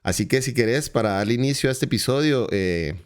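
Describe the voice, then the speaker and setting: A man's voice: mid-range voice, animated, a little fast, clean tone. A male podcast host, talking in a friendly, wordy way that often repeats words as he interviews a guest.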